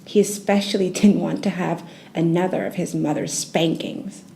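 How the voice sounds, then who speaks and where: A woman storyteller reading a children's bedtime tale in a gentle, expressive, soothing narration style. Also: on the low side, normal speed, gravelly, slight background noise.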